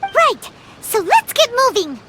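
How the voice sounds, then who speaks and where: A woman voicing a small, childlike, excitable and curious fairy companion in a video game, with a squeaky voice. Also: slow pace, exaggerated voice. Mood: eager. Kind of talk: scripted video game dialogue.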